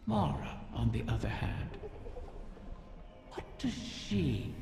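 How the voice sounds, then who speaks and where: Slow, echoing, cunning ancient dragon. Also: mid-range voice, very animated, creaky, exaggerated voice. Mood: probing, suspicious. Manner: slow, insinuating, theatrical rhetorical questioning. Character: Ahamkara, ancient cunning wish-dragon bargaining with a hero. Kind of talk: scripted video game character dialogue. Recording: long cavernous reverb, music underneath.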